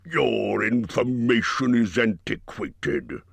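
A man voicing a vast, ancient, boastful male dragon who gloats and menaces in slow, booming speech. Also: big pitch swings, creaky, fairly bright tone, no echo, full character voice. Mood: snappish.

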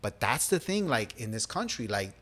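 A conversational host who agrees freely and drops in Spanish now and then. The voice sits mid-range in pitch. The delivery is relaxed and easy. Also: normal speed. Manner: agreeable, uses many backchannels, slips into Spanish. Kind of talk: casual chat.

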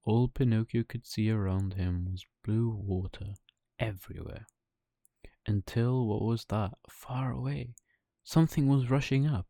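A man narrates a bedtime story for children. His delivery is slow and his tone is mellow, recorded very dry. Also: on the low side, fairly even intonation, no room sound.

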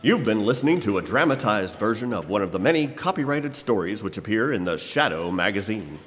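A man's voice: lowish voice, very animated, a little fast, over music. A man playing an old-time radio announcer, reading script with grand, emphatic showmanship. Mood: grand, promotional. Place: live performance in a church hall. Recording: live stage in reverberant hall.

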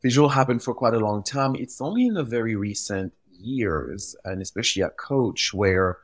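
Guy, really low voice, dry sound, talks animated and stumbles over words a bit. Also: normal speed, no room sound. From Belgium. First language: French. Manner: animated, repeats words while gathering thoughts. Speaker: guest, retail visual expert. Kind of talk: conversational podcast interview.

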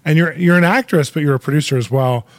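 Man, podcast host, quick talker, upbeat and chatty, dry studio sound. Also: fairly high pitch, no room sound. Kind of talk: casual interview chat.

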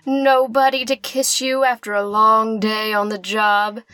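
A woman's voice: a little high, little pitch movement, measured pace, sharp tone, no room sound, faint music behind. A woman playing a wealthy, flirtatious femme fatale artist with a sinister edge and a seductive manner in a noir radio play. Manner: seductive, teasing.

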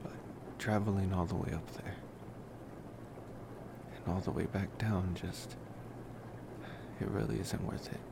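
Slow, gravelly, gentle vampire boyfriend, acted by a man. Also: deep voice, steady tone, background noise. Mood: wistful. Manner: hesitant, rambling, soft-spoken with pauses and sighs. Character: gentle male college vampire boyfriend, wistful and affectionate. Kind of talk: ASMR roleplay, intimate personal attention.

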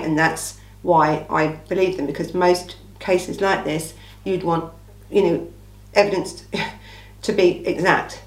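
A woman with an English accent and a slightly croaky, under-the-weather voice, hosting a casual true-crime Q&A in an informal, emphatic way with rhetorical asides. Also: lowish voice. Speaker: true-crime channel host. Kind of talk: casual chatty Q&A monologue. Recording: echoey room.

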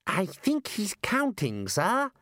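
A man's voice: sits high, huge pitch swings, slow, sharp tone, dry recording, clean studio sound, voice pushed up, heavily acted. A man voicing an earnest, polite young male wizard assistant.

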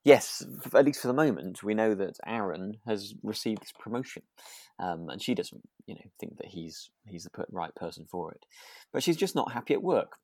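A man's voice: fairly low pitch, expressive, moderate pace, a little mellow, no room sound, studio quality. A man co-hosting a podcast, chatting casually in a hesitant, agreeable way, often repeating words as he thinks. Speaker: podcast co-host. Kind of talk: casual discussion of a radio drama.

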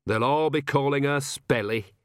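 A man's voice: medium pitch, normal speed, clean tone, dry recording, clean studio sound, close to an everyday voice. A man voicing an earthy, self-assured old village woman who chats in a knowing, matter-of-fact way for a storybook. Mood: chatty, amused.

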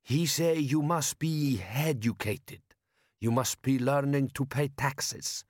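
A man doing a slow-spoken jovial desert chieftain. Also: medium pitch, dry recording, studio quality, voice pushed up, lightly acted. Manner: slow, broken English, halting foreign accent put on.

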